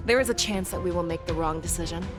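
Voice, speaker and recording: A woman voicing a commanding female general who speaks in a measured, instructive way and rallies her troops. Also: fairly low pitch, lightly acted. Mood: steady. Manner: measured, instructive, rousing when addressing troops. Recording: studio game dub over loud music.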